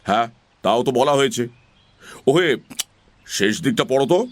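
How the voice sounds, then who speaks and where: A man's voice: medium pitch, lively intonation, moderate pace, close to an everyday voice. A man voicing a pompous, fussy talking crow bookkeeper in a theatrical children's audio story. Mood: self-important, explaining. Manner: pompous, theatrical, officious delivery.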